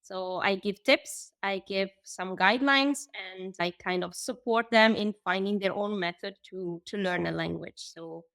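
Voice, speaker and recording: A woman's voice: medium pitch, a little slow, gravelly, hardly any pauses, fairly bright tone, no echo. A woman from Italy with an Italian accent, a guest speaking English in a casual interview, hesitant with many fillers and restarts. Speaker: guest, language coach.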